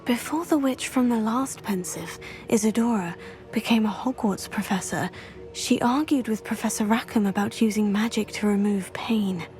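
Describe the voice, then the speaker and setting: A woman's voice: mid-range voice, moderate pace, a little mellow, lightly acted. A woman playing a polite, inquisitive teenage witch student in a fantasy game, respectful and direct. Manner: respectful, clear-headed, direct. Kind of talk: cinematic fantasy game voice acting. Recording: faint music behind the voice.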